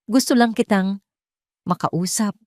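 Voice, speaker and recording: A woman's voice: mid-range voice, a little slow, a little mellow, no echo, clean studio sound, voice pushed up, lightly acted. A woman with a Filipino accent voicing a humbled, regretful rich man in an audio drama, hesitant and subdued. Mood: hesitant, humble.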